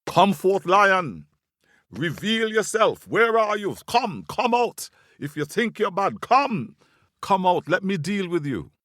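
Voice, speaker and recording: A man performs a tiny, cocky squirrel from an animal folktale. The voice jumps high and swings widely as it blusters with theatrical bravado, close and dry. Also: big pitch swings, slow pace, fairly bright tone, no room sound, full character voice. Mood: menacing challenge. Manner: theatrical bravado, exclaiming and pleading. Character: tiny bold boastful male squirrel bluffing a lion.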